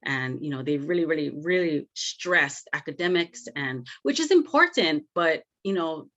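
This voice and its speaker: A woman's voice: low-pitched, animated, moderate pace. Effusive woman podcast host, lo-fi audio. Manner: warm, effusive, many "you know" fillers. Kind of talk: casual podcast interview.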